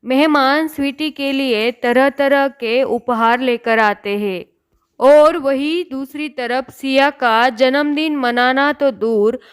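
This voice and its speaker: High, clear woman storyteller, unhurried. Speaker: narrator. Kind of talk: scripted children's story narration.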